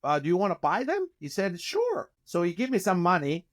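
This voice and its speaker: Animated man with a Chilean accent. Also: high-pitched, fairly quick. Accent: Chilean Spanish. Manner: animated, gesturing with hands, frequent restarts. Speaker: guest, company founder. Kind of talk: casual interview storytelling. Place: office with bookshelves, indoors. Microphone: video call.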